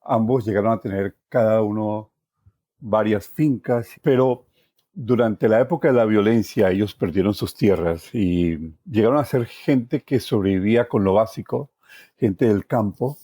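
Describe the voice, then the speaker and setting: An older Colombian man telling personal stories in a reflective, expressive way as an interview guest. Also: fairly low pitch, measured pace, dry recording, clean studio sound. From Valle del Cauca, Colombia. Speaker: journalist, podcast guest. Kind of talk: personal storytelling.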